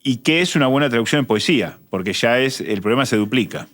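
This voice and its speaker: A man's voice: medium pitch, lively intonation, fast talker, fairly bright tone. A man with a Rioplatense accent talking hesitantly on a talk show, with frequent fillers. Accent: Rioplatense Spanish. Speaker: co-host.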